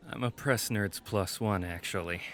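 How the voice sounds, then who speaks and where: Man playing a wry, lower-voiced programmer. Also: fairly bright tone. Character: self-deprecating, modest young programmer man. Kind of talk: audio drama acting.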